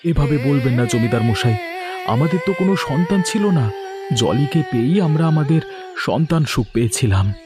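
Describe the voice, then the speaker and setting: A man's voice: medium pitch, lively intonation, moderate pace, music in the background, close to an everyday voice. A man playing a humble, deferential village fisherman and father in a cartoon drama, speaking in a meek, pleading way. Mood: earnest. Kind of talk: dramatic cartoon story acting.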